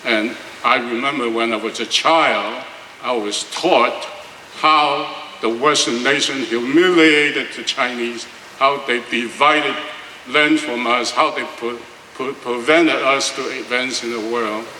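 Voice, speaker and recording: A man's voice: mid-range voice, slow pace, bright, forceful tone, a little hiss or hum. An older man from Hong Kong, a panelist giving a blunt, opinionated answer at a panel discussion. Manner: blunt, opinionated, plain-spoken. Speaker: panelist, former student striker. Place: auditorium panel event. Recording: roomy hall, mic at a distance.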